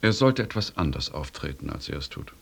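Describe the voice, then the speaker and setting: An actor playing a polite, probing officer in a German radio drama, speaking formally with a husky voice. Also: male voice, very deep voice, very animated, fairly quick, fairly bright tone, exaggerated voice. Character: probing, polite young officer questioning his comrades.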